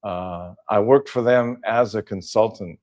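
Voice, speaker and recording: A man's voice: fairly low pitch, measured pace, a little mellow. An older man from Southern California, a guest in a casual interview, talking with many fillers and restarts.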